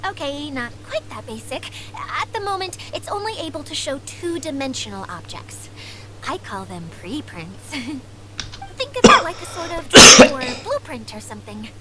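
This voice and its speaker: High-pitched, timid young inventor. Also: female voice, sing-song, moderate pace, background noise. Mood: nervous explaining. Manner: hesitant, apologetic, stammering. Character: timid, self-doubting, clumsy young woman inventor. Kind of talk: video game voice acting.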